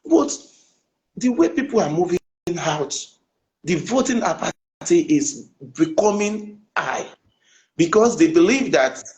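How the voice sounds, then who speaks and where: A Nigerian man with a Nigerian accent argues his point in a panel debate. His voice sits high and swings widely in pitch. He is insistent and keeps talking over the host. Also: big pitch swings, takes it slowly, a little mellow, poor audio. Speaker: politician guest.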